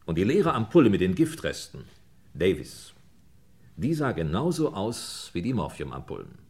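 A low voiced homicide inspector, radio crime drama, brisk and dry, lots of up and down. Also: male voice, huge pitch swings, a little mellow, exaggerated voice. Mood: musing, matter-of-fact. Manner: musing, clipped, punctuated with little questioning grunts. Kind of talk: German radio crime play acting.

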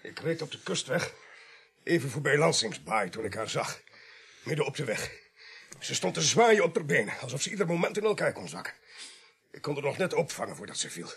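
An actor playing a curt, defensive, weary van driver in a radio play, with a husky, creaky voice. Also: male voice, a little high, very animated, a little fast, fairly bright tone, full character voice. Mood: recounting, earnest. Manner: terse, guarded.

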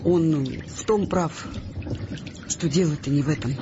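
An actress playing a hurt, tender wife in a Russian audio play, speaking in a halting, intimate, emotional way. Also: female voice, deep voice, background noise. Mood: tender, hesitant. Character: Irka, hurt, tender wife of a frightened scientist. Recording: muffled audio play with music behind.

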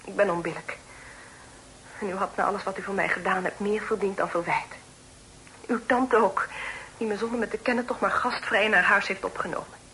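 Woman acting anxious young lady, period radio drama, bright clear-cut voice, formal pleading delivery, noisy old recording. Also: mid-range voice, fairly even intonation, brisk, long pauses, close to an everyday voice. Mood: apologetic, yearning. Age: young adult.

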